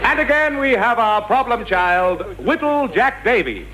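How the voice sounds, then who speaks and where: A man's voice: extremely high pitch, sing-song, unhurried, exaggerated voice. A man playing a polite, slightly flustered chairman of an old radio comedy panel. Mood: presenting. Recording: noisy old radio recording.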